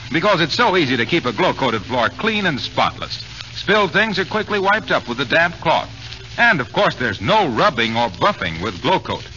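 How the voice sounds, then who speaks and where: A man's voice: fairly high pitch, pushed and bright. An American man announcing and selling products in a polished 1940s radio advertising style. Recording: slightly noisy, muffled 1940s radio recording.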